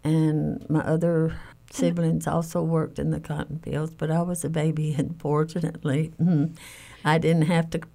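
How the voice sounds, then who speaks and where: An older woman shares recollections in conversation as an interviewee. Her voice is low and mellow, with a marked gravelly creak. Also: flat delivery, normal speed, few pauses. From Alabama, United States. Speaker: interviewee, family caregiver.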